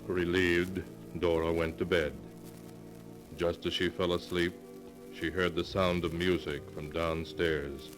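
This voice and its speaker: Grave old-time radio narrator, very deep, flat delivery, music under. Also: male voice, takes it slowly.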